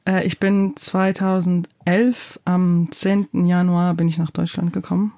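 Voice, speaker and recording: Playwright in their thirties, hesitant, many fillers, mellow tone. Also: mid-range voice, level tone, unhurried. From Georgia. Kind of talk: interview answers.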